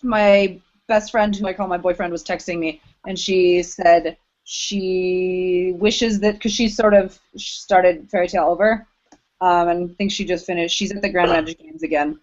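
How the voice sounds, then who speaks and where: A woman's voice: on the low side, fairly even intonation, measured pace, a little mellow. Playful casual woman, slightly muffled recording. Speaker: podcast co-host. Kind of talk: casual banter about anime. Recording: dull lo-fi audio.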